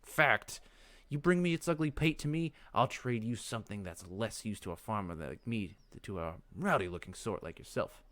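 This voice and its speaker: A man's voice: lowish voice, moderate pace, a bit bright, dry recording, natural voice. A man voicing an angry, foul-mouthed, blustering pig farmer in a fantasy video game. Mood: bargaining. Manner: blustering, cursing.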